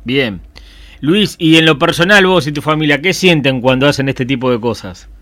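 Radio host guy, short direct questions, kinda high voice, dry studio sound. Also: moderate pace, fairly bright tone, dry recording. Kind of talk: radio interview.

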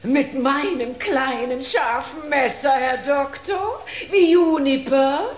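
High-voiced sadistic henchwoman. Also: slow, reverberant. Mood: eager. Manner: cooing, gloating, deferential to her master. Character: sadistic, gleeful female henchwoman with a knife. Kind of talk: German radio drama acting.

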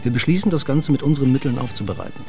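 A man's voice: mid-range voice, lively intonation, rapid-fire, darkish tone, faint music behind, close to an everyday voice. An actor playing a male techno insider who recounts past events matter-of-factly in a radio drama. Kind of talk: scripted radio drama narration.

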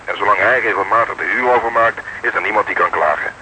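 An actor in a Dutch radio drama playing a world-weary, sardonic police detective, deadpan and sarcastic, on a noisy recording. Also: male voice, on the low side, fast talker, natural voice. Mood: dry, resigned.

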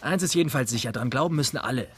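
An actor playing a brash, cocky young criminal in a radio drama, talking in a brusque, slangy, dismissive way. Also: male voice, mid-range voice, rapid-fire, a bit bright. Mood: cocky, cold. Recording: clear background noise, ambience.

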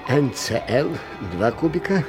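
An actor playing an eccentric old schoolteacher-inventor in a radio play, agitated and dreamy, muttering haltingly and repeating himself between exclamations. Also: male voice, mid-range voice, lively intonation, loud music behind the voice, close to an everyday voice. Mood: nervous muttering. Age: older. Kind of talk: theatrical radio play acting.

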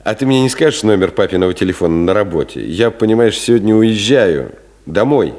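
An actor playing a gentle, wistful middle-aged teacher with a hesitant, teasing, colloquial way of talking in a 1970s Soviet radio play. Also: male voice, on the low side, lively intonation, a little fast, natural voice. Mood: curious, wistful.

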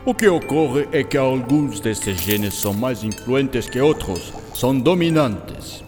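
A man's voice: medium pitch, expressive, slow pace, a little mellow. Man acting as eccentric scientist, German accent, patient enthusiastic teacher, radio play, long reverb, music underneath. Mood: patient explaining. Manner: didactic, peppers speech with German words. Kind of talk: scripted radio drama dialogue.

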